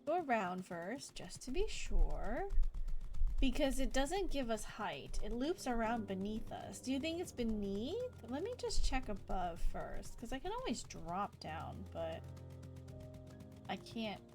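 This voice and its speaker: A woman streaming a video game live, thinking aloud in casual, chatty commentary that turns excitable. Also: on the high side, unhurried, faint music behind.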